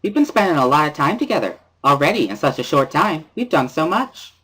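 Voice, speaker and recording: A man as a high, bubbly, swooping schoolgirl. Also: very animated, a little fast, pushed and bright, poor audio. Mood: bubbly, teasing. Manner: exuberant, talkative, boisterous laughing. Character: bubbly, loud, laughing teenage schoolgirl. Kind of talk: amateur dramatic reading of a visual novel.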